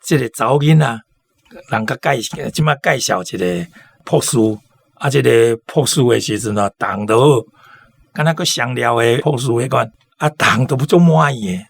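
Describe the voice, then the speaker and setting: Husky, gravelly Taiwanese man. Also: medium pitch, normal speed, no echo. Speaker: literary discussion speaker. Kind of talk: unscripted talk about a story.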